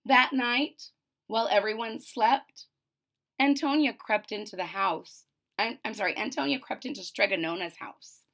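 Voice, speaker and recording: A woman reading a story aloud for children, expressive and teacherly, pausing to explain it simply. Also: fairly high pitch, creaky. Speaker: storybook reader.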